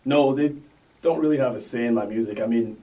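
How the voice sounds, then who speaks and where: A young man talks easily about his music, loose and unhurried, with plenty of fillers. His voice is mellow and soft-edged, and it sits a little back from the mic. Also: mid-range voice, far from the mic, rough recording. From Canada. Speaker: guest rapper. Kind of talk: casual interview chat.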